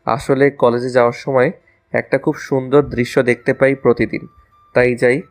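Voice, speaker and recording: একজন পুরুষের অভিনয়ে দুষ্টু, রোমান্টিক কলেজপড়ুয়া ছেলে, পেছনে বাজনা. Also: male voice, medium pitch, level tone, normal speed, soft background music, close to an everyday voice. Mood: flirty. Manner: playful, mock-innocent, teasing. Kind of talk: audio romance story acting.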